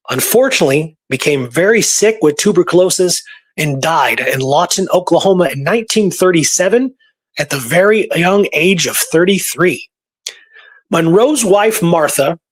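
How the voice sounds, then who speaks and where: Male history podcaster, voice a little on the high side, informal conversational storyteller.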